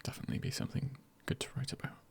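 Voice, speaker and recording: A man speaking softly in an ASMR style, hushed and often near a whisper, giving gentle encouragement in deliberate, spaced-out phrases with a breathy, husky voice. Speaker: ASMR creator. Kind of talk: ASMR, soft-spoken encouragement.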